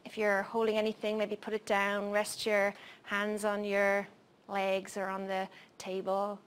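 Soft-spoken Irish woman presenter. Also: mid-range voice, completely flat delivery, clear, resonant tone. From Ireland. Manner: soft-spoken, frequent "um" pauses. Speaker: program coordinator presenting. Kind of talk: workshop talk and guided mindfulness exercise. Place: university lecture room. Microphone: handheld mic.

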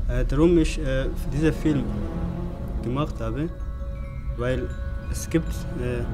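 A young man directing films, not a native speaker, answering interview questions in halting, searching speech full of fillers, seated on a dark studio set. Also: mid-range voice. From Africa. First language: not German. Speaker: film director and writer.

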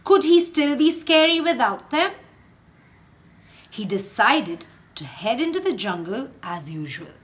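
A woman with an Indian English accent, a teacher reading a picture book aloud in a very slow, expressive storytelling way. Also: fairly high pitch.

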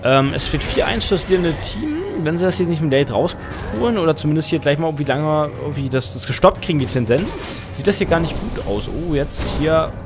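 A young man with a German accent commentates a game from his desk in a loose, rambling way, thinking out loud and fixing his own slips. Music plays clearly underneath his voice. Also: on the high side, expressive, brisk. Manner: chatty, self-correcting, thinks aloud. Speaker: game caster.

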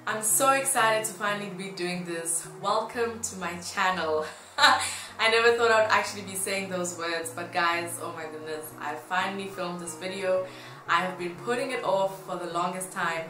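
Low-pitched young woman, roomy vlog sound. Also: moderate pace, sharp tone, a little hiss or hum. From Tanzania. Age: 20s. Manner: upbeat, informal. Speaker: fashion blogger. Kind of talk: casual chat to camera. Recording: roomy echo, music behind. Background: music.

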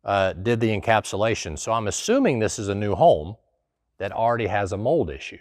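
Host type: middle-aged man, lower voice, dry studio sound, relaxed explainer. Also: a little fast, no echo. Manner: conversational, folksy, answers a viewer question. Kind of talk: casual explanatory talk to camera. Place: small studio in front of a plain wall.

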